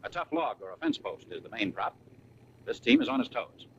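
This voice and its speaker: A man's voice: mid-range voice, wildly swooping pitch, brisk, slight background noise. Muffled 1940s American male training film narrator. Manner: punchy, colloquial, wartime slang. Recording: muffled, raspy vintage 1940s film soundtrack.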